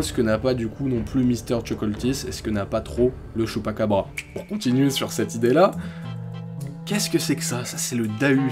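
Young male host, casual and reflective, middle voice, desk webcam, soft music underneath. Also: medium pitch. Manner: casual, thoughtful, many fillers and restarts. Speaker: channel host. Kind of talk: ranking urban legends in a tier list. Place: indoor room, webcam framing.

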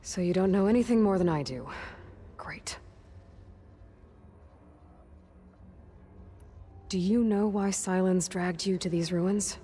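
A woman's voice: medium pitch, normal speed, mellow, slightly rough, faint music behind, close to an everyday voice. A woman playing a determined, sardonic young warrior heroine in a video game, questioning in a dry, pressing manner. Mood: impatient questioning. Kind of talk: video game dramatic acting.

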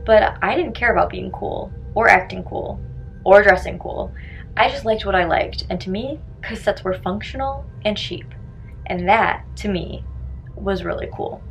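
Creaky-voiced young woman telling a story. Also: medium pitch, measured pace, soft background music, poor audio. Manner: reading aloud, dramatic storytelling. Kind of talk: scripted first-person story narration.

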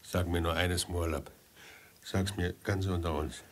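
A man's voice: low voice, fairly even intonation, moderate pace, slightly rough. An actor playing a gleeful, chatty hangman who fusses over his condemned prisoner with theatrical, cajoling affection in a 1950s radio play. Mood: sly, soothing.

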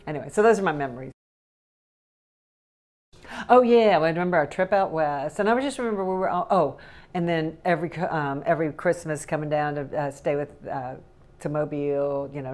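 A woman's voice: sits low, darkish tone. A woman in her sixties with a faint Southern accent, recalling childhood memories in a rambling, chatty way at her kitchen table. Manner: rambling, chatty, laughs often. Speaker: interviewee. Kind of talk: casual recollection. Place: home kitchen, indoors.

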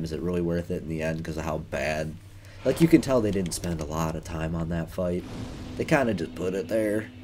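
A man with a low-pitched voice talks through gameplay in a casual, rambling way. His delivery is wry and informal, like a let's player narrating as he goes. There is clear noise behind him, with game ambience. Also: mellow.

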